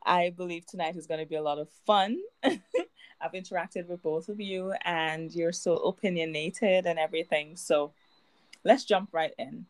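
A woman's voice: lowish voice, fairly even intonation, normal speed. Bubbly woman hosting a podcast. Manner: bubbly, laughing, teasing. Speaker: podcast host. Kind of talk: casual podcast chat.

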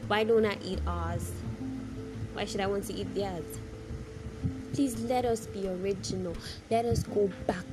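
A woman from Nigeria with a Nigerian accent gives a casual, opinionated monologue, speaking emphatically with repeated phrases and rhetorical questions. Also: mid-range voice. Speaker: vlogger giving personal opinion.

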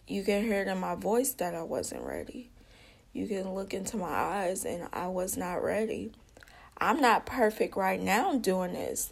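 A woman talks straight to her listeners in an earnest, unpolished monologue. Her voice has a gravelly fry and she speaks at an unhurried, slow pace, circling back on her words. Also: mid-range voice. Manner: earnest, conversational, addresses listeners as y'all; repeats herself, small slips and restarts. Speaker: vlogger. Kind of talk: personal testimony monologue.

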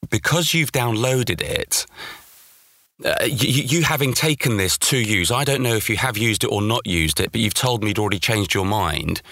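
A man's voice: lowish voice, normal speed, a bit bright, no room sound, studio quality. A middle-aged British man giving conversational, emphatic interview answers and repeating words to make his point. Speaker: interview guest, audio journalist and voice-over artist.

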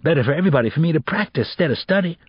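A man's voice: fairly high pitch, animated, rapid-fire, breathy edge, no echo, dull audio, pitched above the performer's own voice. A man voicing a cocky, menacing teenage boy in an audiobook, with slangy, pushy talk. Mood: defensive, angry. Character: cocky, menacing teenage boy with street swagger, a would-be cheat.

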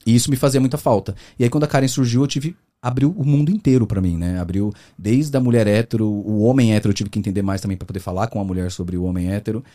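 A man from the interior of São Paulo state, with that region's accent, chatting as a guest on a podcast. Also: on the low side. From Brazil, interior of São Paulo state. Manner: fast, animated storytelling with many fillers. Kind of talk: casual interview chat.

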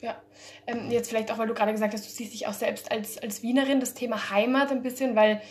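Woman from Spain, casual interviewer, soft hesitant phrasing. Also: fairly high pitch, flat delivery, fast.

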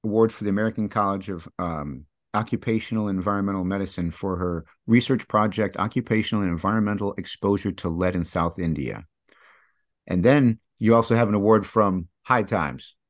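A man hosting a podcast interview, talking casually in halting, restarting phrases and admiring his guest. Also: lowish voice, no echo, clean studio sound. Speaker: podcast host.

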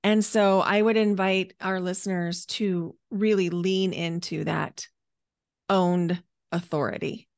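A woman's voice: fairly low pitch, steady tone, slow, smooth, dry recording, clean studio sound. A 49-year-old woman speaking as a podcast guest, telling her own story conversationally and stretching key words for emphasis. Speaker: podcast guest, energy healer and coach.

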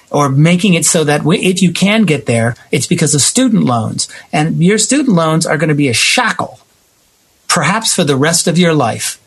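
A man's voice: a little high, breathy edge. An American man in emphatic, opinionated conversation, slipping into imagined quotes to make his points. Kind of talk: opinionated conversation about society.

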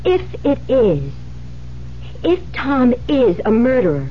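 A woman acting in a 1970s radio drama as a shocked, anxious young newlywed, halting and repeating words in agitation. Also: a little high, huge pitch swings, heavily acted. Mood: distressed, disbelieving. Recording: dull, noisy 1970s radio recording.

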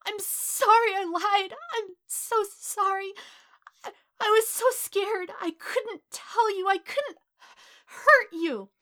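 A high, bright, anguished teenage boy voiced by a woman reading a story. He is timid and tearful, tripping over words as he pleads. The delivery is strained, desperate and crying. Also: slow pace, full character voice. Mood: sobbing apology. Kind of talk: dramatic fan fiction reading.

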